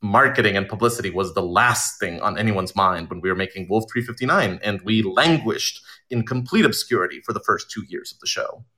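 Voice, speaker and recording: A man with a fairly low voice speaks informally as an interview guest. He is an animated storyteller who explains his creative work conversationally and likes to act out imagined remarks. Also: a little fast, few pauses. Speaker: audio drama writer and director being interviewed.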